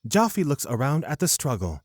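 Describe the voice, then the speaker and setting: A man's voice: medium pitch, sing-song, normal speed, darkish tone, no room sound. Male narrator for fantasy audio drama: mid-range, dry studio-style sound, scripted storytelling.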